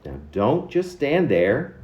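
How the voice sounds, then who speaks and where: A roomy, slightly dull home recording of a man reciting poetry. His delivery is theatrical and mannered, with pitch that swings widely and halting, dramatic stresses on chosen words. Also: wildly swooping pitch, darkish tone. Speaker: poet reading own work.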